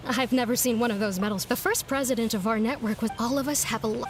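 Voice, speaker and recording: A woman's voice: a little high, brisk, background noise, lightly acted. An actress playing a friendly, chatty female townsperson in a video game. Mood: friendly, informative.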